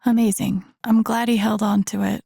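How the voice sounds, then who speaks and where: A woman playing a wry, wounded, introspective young game heroine, speaking soft-spoken inner monologue in a naturalistic, breathy, slightly creaky voice. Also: mid-range voice, flat delivery, normal speed, no echo, clean studio sound. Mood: dry, wry.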